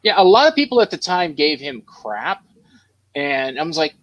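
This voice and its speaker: A man's voice: a little high, big pitch swings, normal speed, fairly bright tone, dry recording, lo-fi sound. Man chatting, close dry sound. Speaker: panelist. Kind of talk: casual chat about films.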